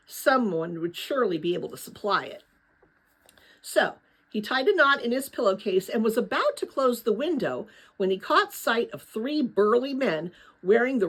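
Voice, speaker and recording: A woman reading a children's novel aloud as a storyteller, dramatic and with deliberate pauses. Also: medium pitch, a bit bright, breathy edge. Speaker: audiobook-style reader.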